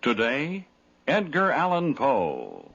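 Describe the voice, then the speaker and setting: A man's voice: medium pitch, huge pitch swings, slow, creaky, very noisy. A man announcing a scripted programme in dramatic 1950s radio style. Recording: muffled 1950s radio recording, loud music.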